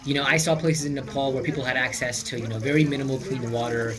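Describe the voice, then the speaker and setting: A man's voice: medium pitch, steady tone, quick pace, crowd noise. A young man narrating a personal documentary voice-over in an earnest, reflective way, with many "you know" fillers. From United States. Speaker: vlogger. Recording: voice-over under loud guitar music.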